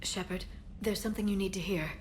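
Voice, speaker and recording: An actress playing a dutiful female crew member in a video game, soft-spoken and concerned. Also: mid-range voice, fast talker, slight background noise, natural voice. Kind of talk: video game cutscene acting.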